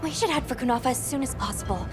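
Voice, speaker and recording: An eager young woman aiding an expedition in a game. She speaks high, politely and practically, with clear music in the background. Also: animated, rapid-fire. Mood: businesslike. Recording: music under the voice.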